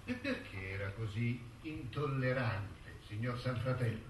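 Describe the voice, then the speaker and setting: A man's voice: medium pitch, lively intonation, measured pace, slight background noise, lightly acted. An actor playing a probing man who questions and recounts a court case in a formal, pointed stage manner. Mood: cool, probing. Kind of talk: stage drama dialogue. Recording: roomy distant stage recording with music. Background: music.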